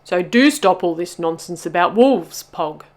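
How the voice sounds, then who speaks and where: A woman voicing a bossy, impatient male teddy bear in a children's storybook, brisk, snappy and self-assured. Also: fairly low pitch, sing-song, warm tone, husky, heavily acted. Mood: snappy, scolding. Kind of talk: children's storybook read-aloud.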